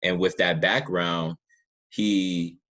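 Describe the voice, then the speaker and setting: A man's voice: low voice, flat delivery, slow pace, fairly bright tone. A man hosting a casual interview, talking in an earnest, informal way and often repeating himself. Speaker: podcast host interviewing a guest.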